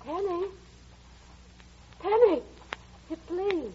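A woman's voice: extremely high pitch, lively intonation, very slow, mellow, exaggerated voice. A woman playing a poised, tender and anxious businesswoman in an old-time radio drama, with emotive, theatrical delivery. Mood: urgent, pleading. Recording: muffled, noisy vintage radio recording.

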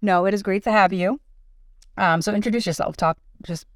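A woman's voice: mid-range voice, brisk, dry recording, clean studio sound. A woman hosting a podcast, reading a scripted intro and then chatting with a guest, affirming her often. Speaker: podcast host.

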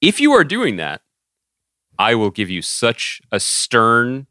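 Bright, animated American man, podcast co-host. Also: mid-range voice, slow pace, no room sound, studio quality. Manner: wry, mock-serious, wordy. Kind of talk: casual banter.

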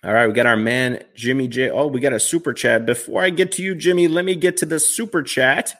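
A man's voice: mid-range voice, fairly quick, a bit bright. A man in his thirties hosting a live stream, answering viewer questions in a chatty, upbeat way with lots of fillers and restarts. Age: 30s. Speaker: finance livestream host.

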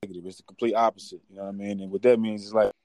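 Low, flat-voiced young man. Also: quick pace, no room sound. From Detroit, Michigan. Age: young adult. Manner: street slang, keeps saying "you know what I mean". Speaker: guest, boxer and entrepreneur. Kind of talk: casual interview talk.

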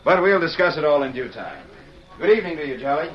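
Smug villainous creditor, muffled recording. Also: male voice, fairly high pitch, big pitch swings, fast talker. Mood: smug, condescending. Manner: mock-polite, condescending. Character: smug, smooth-talking villainous male creditor. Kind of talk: old-time radio western acting. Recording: muffled old radio recording.

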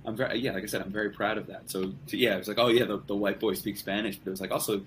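A man's voice: lowish voice, steady tone, very fast, fairly bright tone, slight background noise. A young man as a podcast guest, talking casually in rambling sentences with many restarts. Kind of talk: casual conversation.

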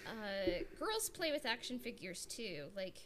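A woman's voice: on the high side, big pitch swings, unhurried, a bit bright. A woman talking casually as a podcast panelist, feeling out her opinions in short, hesitant pieces. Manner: hesitant, piecemeal phrasing with frequent "like". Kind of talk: casual fan discussion. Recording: clear background noise.

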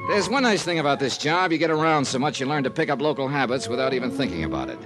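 A man's voice: medium pitch, big pitch swings, fast, close to an everyday voice. An actor playing a wry, breezy male insurance investigator, narrating his case in clipped, conversational 1950s radio drama style. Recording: vintage radio recording with music.

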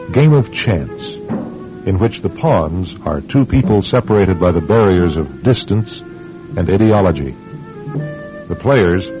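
A man hosting a radio drama, reading scripted introductions in a measured, portentous, dramatic way. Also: low voice. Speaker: announcer and host of radio drama. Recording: dull radio recording with music. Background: dramatic music.

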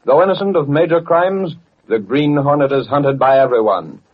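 A man's voice: on the high side, expressive, moderate pace, breathy edge. A man narrating a vintage radio serial as announcer, in a dramatic, declamatory and portentous scripted style. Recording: dull, muffled old radio recording.

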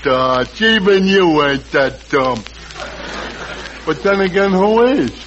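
An actor playing a good-natured, dim-witted tavern regular with a dopey manner in 1940s radio comedy. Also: male voice, sits high, lively intonation, a bit bright, exaggerated voice. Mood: skeptical, dopey. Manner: slow-witted, dopey, hesitant. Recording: dull, noisy vintage radio recording.

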